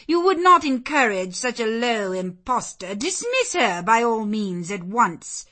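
A woman voicing a snobbish, disapproving older aristocratic mother in a storybook, with haughty, drawn-out indignation. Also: fairly low pitch, sing-song, a bit bright, exaggerated voice. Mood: indignant, pleading.

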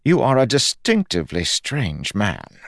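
Man voicing a fussy, weary little god, pitched up. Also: huge pitch swings, a little slow, vocal fry, no echo, clean studio sound, exaggerated voice. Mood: bemused, resigned. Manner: huffy, solemn, soothing. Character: fussy, weary god in small man's form, resigned.

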